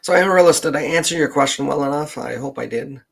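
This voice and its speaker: Halting Canadian man with a gravelly voice. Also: fairly high pitch, fairly bright tone. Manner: halting, meandering, thinking aloud. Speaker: livestream host, genealogist. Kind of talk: casual unscripted livestream chat.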